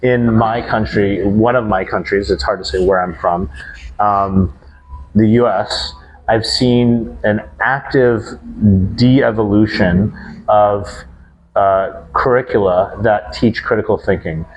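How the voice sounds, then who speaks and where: A man in his forties from the United States, a teacher answering interview questions in a thoughtful, measured way. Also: fairly low pitch, fairly even intonation, rough recording. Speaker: guest, educator. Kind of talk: casual podcast interview.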